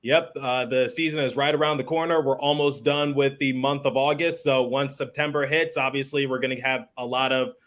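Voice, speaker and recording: A man's voice: medium pitch, level tone, brisk, hardly any pauses, a bit bright. A man hosting a sports podcast, introducing and interviewing in an upbeat style. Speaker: podcast host.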